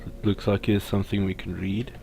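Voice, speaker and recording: A man's voice: fairly low pitch, flat delivery, brisk, gravelly. A man giving casual gameplay commentary as the host of a let's play.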